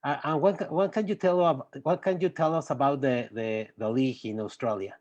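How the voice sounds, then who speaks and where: A man's voice: mid-range voice, moderate pace, darkish tone. Hesitant male host on a dull line. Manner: hesitant, many fillers and restarts. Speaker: interviewer, host. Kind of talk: interview questions.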